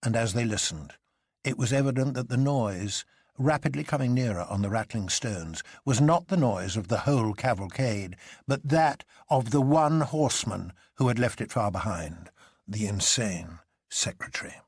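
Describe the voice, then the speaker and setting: A man's voice: medium pitch, lively intonation, moderate pace, breathy edge, no echo, studio quality. A man reading a literary adventure story aloud as a dramatic narrator. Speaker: audiobook narrator.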